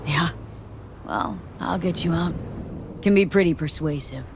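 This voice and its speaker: A woman's voice: fairly low pitch, measured pace, creaky, background noise. A woman playing a guarded young street mercenary in a video game, talking in a sardonic, clipped, casual way. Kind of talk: naturalistic video game acting.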